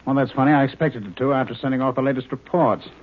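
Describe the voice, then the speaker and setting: An actor in a 1950s radio drama playing Doctor Bronson, a male scientist in charge, speaking in crisp, commanding tones. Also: medium pitch, fairly even intonation, quick pace, close to an everyday voice. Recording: tinny old radio broadcast with hiss.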